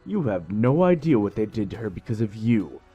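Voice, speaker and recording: A man's voice: fairly low pitch, big pitch swings, normal speed, warm tone, slightly rough, lo-fi sound, soft background music. A man playing a defiant, cornered loyalist who taunts bitterly in a dramatic audio drama. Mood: bitter, taunting.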